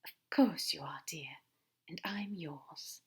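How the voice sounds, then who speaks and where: A woman voicing a gentle, fussy male angel, speaking softly and earnestly, often in a whisper. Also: low-pitched, slow pace. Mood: affectionate.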